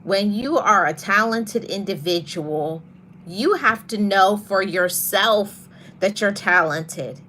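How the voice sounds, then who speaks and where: A woman hosting a motivational podcast, talking to her audience in a soft voice and repeating her key phrases for emphasis. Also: medium pitch, slow pace, a little hiss or hum. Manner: greets live viewers mid-talk. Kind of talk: live-streamed motivational talk.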